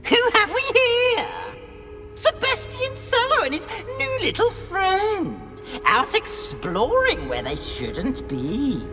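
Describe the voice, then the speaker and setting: A woman's voice: very high-pitched, wildly swooping pitch, slow pace, music in the background, exaggerated voice. A woman voicing a mischievous, tattling poltergeist in a video game, with a gloating singsong way of teasing. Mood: gleeful taunting.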